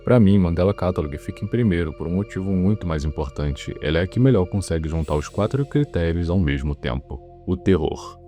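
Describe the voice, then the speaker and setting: A Brazilian man hosting a video essay, narrating from a script in a measured, explanatory way and giving his opinions. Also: sits low, dark tone. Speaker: video host presenting a ranking. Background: background music.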